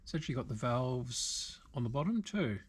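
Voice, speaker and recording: An Australian man giving casual, unscripted hands-on repair commentary, halting now and then as he thinks aloud. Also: medium pitch, expressive, breathy edge. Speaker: video host, radio repairer. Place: indoor workshop.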